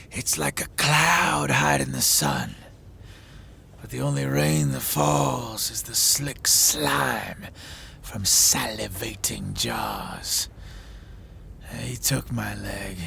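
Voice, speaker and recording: A man playing a gravelly, blustering old hunter. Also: medium pitch, slight background noise, heavily acted. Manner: blustering, shouting boasts then dropping to hoarse whispers. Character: bitter one-legged male veteran monster hunter, loud and boastful. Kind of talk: audio drama acting.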